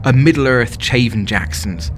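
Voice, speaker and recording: A man's voice: medium pitch, expressive, a little slow, music in the background, natural voice. An actor playing a smitten, self-doubting young festival-goer, telling his story as a confiding, wry dramatic monologue. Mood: wry, amused.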